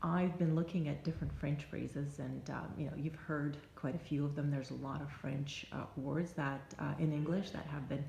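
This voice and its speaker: Low female voice, Russian accent, reflective vlog, halting and thoughtful, roomy echo, faint noise. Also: fairly even intonation, gravelly, darkish tone. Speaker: life coach and vlogger. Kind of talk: reflective advice vlog to camera.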